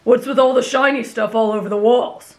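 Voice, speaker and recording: A woman's voice: fairly high pitch, fairly even intonation, a little fast, darkish tone, soft background music, close to an everyday voice. A woman voicing a brash, blunt, wild teenage boy with a demanding manner, in an anime fan audio roleplay. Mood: blunt, puzzled.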